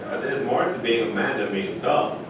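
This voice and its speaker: A man's voice: mid-range voice, moderate pace, close to an everyday voice. In a hugely echoey room, distant and noisy, a man plays a skeptical male needler in a stage reading. He questions and argues in an everyday voice. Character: skeptical, needling man who challenges his peers.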